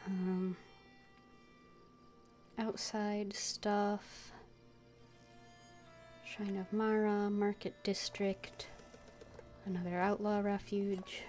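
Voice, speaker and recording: A woman's voice: mid-range voice, flat delivery, extremely slow, long pauses, a little mellow, soft background music. A woman streamer playing a video game, reading dialogue aloud and muttering to herself.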